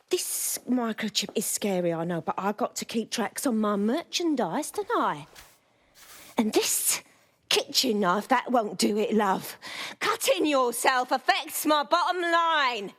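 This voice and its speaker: An actress playing a ruthless female London crime boss in a video game, with a husky voice and a mock-tender, condescending manner. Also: fairly high pitch, expressive, slow, a bit bright, full character voice. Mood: scornful, menacing. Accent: English, London. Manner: mock-tender, calls people love and sweetheart. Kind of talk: dramatic video game acting.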